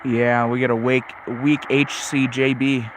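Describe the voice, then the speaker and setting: A man's voice: mid-range voice, flat delivery, unhurried, noisy background. A man giving casual, off-the-cuff commentary as a radio hobbyist, hesitant and rambling, often correcting himself. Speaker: shortwave radio hobbyist narrating a tuning session.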